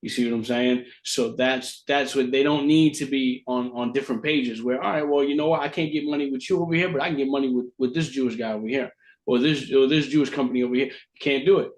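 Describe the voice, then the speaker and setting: A man co-hosting a podcast, calmly explaining and checking that the others follow. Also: mid-range voice, quick pace. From Brazilian and Puerto Rican descent. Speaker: podcast co-host. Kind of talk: casual explaining.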